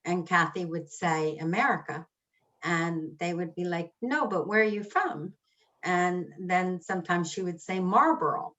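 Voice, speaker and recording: A woman's voice: fairly low pitch, a little slow, a bit bright. A middle-aged woman, mother of grown sons, moderating a group discussion on a video call, speaking hesitantly with many fillers. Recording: dull lo-fi call audio.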